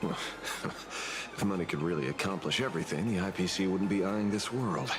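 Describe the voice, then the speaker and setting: A man's voice: low-pitched, normal speed, natural voice. An actor voicing a wry male rebel in a video game, sardonic, cryptic and theatrical. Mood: wry, intrigued.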